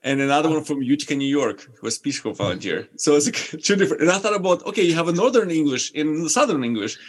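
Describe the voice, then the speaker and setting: A man's voice: on the high side, expressive. Man with a Ukrainian accent. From eastern Ukraine. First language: Russian. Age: 30s. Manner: halting, many uhs. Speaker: missionary guest.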